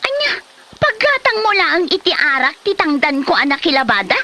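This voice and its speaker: A woman's voice: sits high, big pitch swings, moderate pace, sharp tone, heavily acted. A woman acting an exasperated, nagging wife in an Ilocano comedy drama, with an Ilocano accent. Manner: nagging, reproachful. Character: exasperated, scolding wife of a lazy drunkard.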